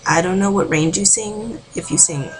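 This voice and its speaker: A woman giving a casual, rambling vocal warm-up tutorial from home, full of filler words. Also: mid-range voice, normal speed, a little hiss or hum, poor audio. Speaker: amateur singing tutor.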